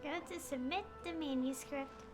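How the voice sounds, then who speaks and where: A woman giving casual, halting livestream commentary as she plays a game, often trailing off. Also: high-pitched. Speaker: game streamer. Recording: stream commentary over game music. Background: game music.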